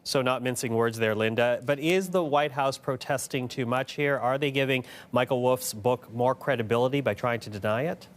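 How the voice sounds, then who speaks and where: A man's voice: mid-range voice, moderate pace, hardly any pauses. A man hosting a live news panel, putting questions to guests. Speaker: news show host.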